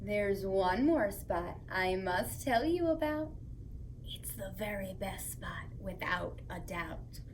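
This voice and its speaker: A woman hosting story time for young children, reading aloud and chatting to them in a playful, expressive way. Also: medium pitch, slow pace, slight background noise.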